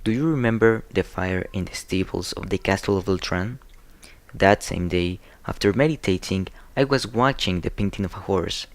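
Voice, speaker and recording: Man acting low-voiced uneasy young nobleman. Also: moderate pace, dry recording. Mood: uneasy, intrigued. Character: young nobleman Frederick, uneasy and intrigued, telling his story. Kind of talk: amateur radio drama, scripted acting.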